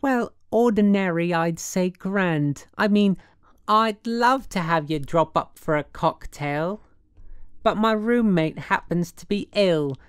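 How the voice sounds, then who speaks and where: A woman's voice: fairly low pitch, slow pace, clean tone, no echo, studio quality, pitched above the performer's own voice. A woman voicing a brassy working gal in an audiobook, slangy and suspicious, with affectedly genteel airs. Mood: flirty, gracious.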